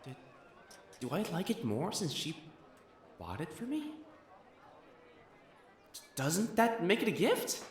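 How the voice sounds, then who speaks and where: An actor playing a proud, secretly smitten young noble matchmaker in a romantic audio drama, with haughty formal diction that turns to stammering when flustered. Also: male voice, sits high, wildly swooping pitch, slow, long pauses, slight background noise, full character voice. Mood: quiet wonder. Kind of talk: acted romantic audio drama monologue to the listener.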